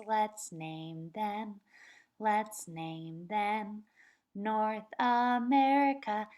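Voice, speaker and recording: Slow, sing-song kindergarten teacher woman. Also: sits high, darkish tone. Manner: slow, patient, sing-song chanting of words for children to repeat. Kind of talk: read-aloud lesson for young children.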